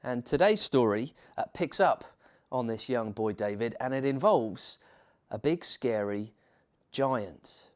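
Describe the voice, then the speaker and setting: Slow, animated male storyteller. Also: mid-range voice. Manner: theatrical, dramatic pauses and emphasis. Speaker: church minister reading a story. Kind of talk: children's bedtime storytelling.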